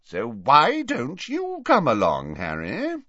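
A booming older professor for a storybook, pompous and jovial. His voice swoops high and wide as he cajoles, effusive and theatrical. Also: wildly swooping pitch, unhurried, fairly bright tone, dry recording, dull audio, heavily acted. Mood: cajoling, insistent.

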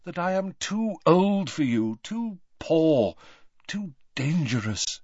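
A man's voice: on the high side, big pitch swings, takes it slowly, darkish tone, dry recording, dull audio, pitched above the performer's own voice, heavily acted. A man doing a weary tense older man. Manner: tense, clipped.

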